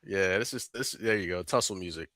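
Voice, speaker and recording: Chill guy on a podcast, kinda low voice but bright, dry close sound, hedges a lot. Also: a little fast, dry recording. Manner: laid-back, hedging, trails off and restarts. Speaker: podcast co-host reviewing an album. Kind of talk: casual music review chat.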